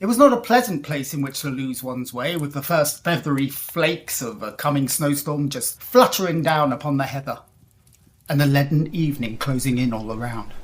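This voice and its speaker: A man's voice: a little high, a bit bright. An actor playing a distressed, insistent young huntsman in a period Gothic audio drama, speaking in formal old-fashioned diction. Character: distressed lost huntsman recounting his ordeal, insistent young husband.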